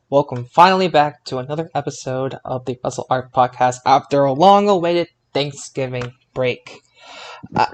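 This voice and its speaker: A man hosting a casual wrestling podcast, speaking haltingly and repeating words as he searches for phrasing. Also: on the high side, smooth. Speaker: podcast host.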